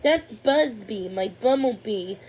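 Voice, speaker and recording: A woman's voice: fairly high pitch, expressive, slow pace, warm tone, slightly rough, exaggerated voice. A woman voicing a gallant, playful, proud young fairy prince in a storybook, with a courtly, earnest manner. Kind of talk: storybook character voice.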